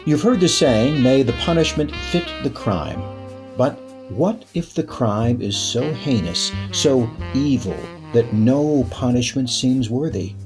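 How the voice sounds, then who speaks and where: A man's voice: mid-range voice, expressive, slow. A man playing a suspenseful radio drama announcer, narrating in a theatrical, portentous manner. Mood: ominous. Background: plucked guitar music.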